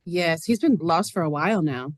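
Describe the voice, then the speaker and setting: A woman's voice: lowish voice, expressive, normal speed, dry recording, studio quality, lightly acted. A woman playing a plain-spoken, lonely, literal-minded farm neighbor in a stage reading, earnest and matter-of-fact. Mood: wistful. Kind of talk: stage reader's theater acting.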